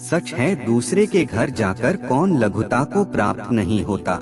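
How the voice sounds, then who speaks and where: A man reading aphorisms as scripted narration, in a measured, formal reciting manner. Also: medium pitch, very animated. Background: background music.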